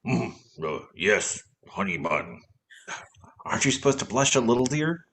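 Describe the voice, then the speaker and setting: A man's voice: lowish voice, big pitch swings, unhurried, fairly bright tone, a little hiss or hum, heavily acted. A man playing a wry, teasing husband and witty sleuth in an old-time radio mystery, with a dry, playful manner. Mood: awkward, teasing.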